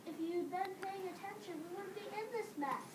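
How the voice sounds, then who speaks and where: A woman's voice: extremely high pitch, fairly quick. A young woman playing an anxious, scolding schoolgirl in readers theater, fretful and exclamatory. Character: anxious, scolding schoolgirl fleeing through a pyramid. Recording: distant echoey room, clear background noise.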